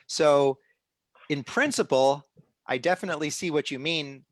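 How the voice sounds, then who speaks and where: A middle-aged man hosting a live online stream, explaining the project in a relaxed way with many restarts and fillers. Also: on the high side, unhurried, no echo. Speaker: host of a live citizen-science stream.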